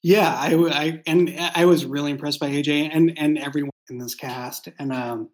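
Thoughtful, halting man chatting casually. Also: on the high side, fairly even intonation. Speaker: creator and writer of an audio drama, as guest. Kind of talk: casual behind-the-scenes chat.

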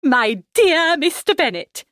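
Woman acting an excitable, high-pitched nagging wife. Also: takes it slowly, no room sound, studio quality, heavily acted. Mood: eager. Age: middle-aged. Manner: gushing, insistent, theatrical. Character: excitable, nagging middle-aged wife and mother. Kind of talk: dramatised audiobook character reading.

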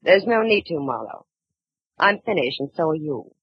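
A breathy, scheming femme fatale. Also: female voice, low voice, very animated, normal speed, no room sound, exaggerated voice. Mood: mocking. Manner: evasive, then cold and mocking. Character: scheming woman double-crossing a detective. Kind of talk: 1940s radio acting. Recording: dull old radio recording.

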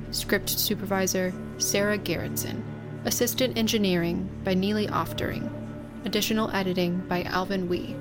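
A woman with a mid-pitched voice reads scripted credits as an announcer. Music runs clearly behind her.

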